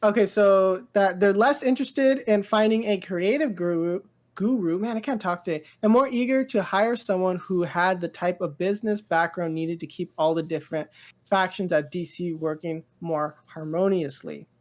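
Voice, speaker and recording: A woman co-hosting a casual pop culture podcast, rambling and halting as she thinks aloud. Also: lowish voice, a little mellow. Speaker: podcast co-host.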